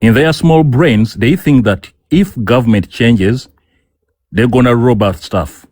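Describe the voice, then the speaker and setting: A man's voice: mid-range voice, a little slow, darkish tone, no room sound, clean studio sound. A man from Uganda arguing in a heated online debate, insistent and repeating himself as he talks over the other speaker. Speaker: debate guest.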